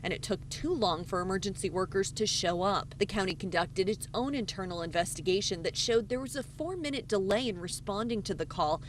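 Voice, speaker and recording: A woman's voice: mid-range voice, lively intonation, fairly quick, few pauses, a little hiss or hum, poor audio. A woman reporter narrating a scripted TV news package.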